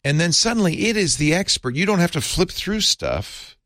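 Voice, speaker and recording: A man co-hosting a podcast, chatting casually about software and explaining with asides and chuckles. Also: sits high, very animated, moderate pace, dry recording, studio quality. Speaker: podcast co-host. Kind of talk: casual tech chat.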